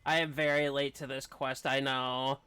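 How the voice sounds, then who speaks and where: A man's voice: medium pitch, little pitch movement, normal speed, sharp tone, clean tone, dry recording. A man giving casual live-stream commentary while playing a video game. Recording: faint game music behind. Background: game audio with music.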